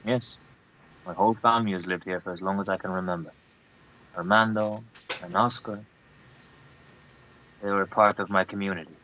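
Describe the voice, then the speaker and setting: Man acting deep-voiced, earnest, brooding local. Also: bright, forceful tone, dull audio. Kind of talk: table read of a screenplay.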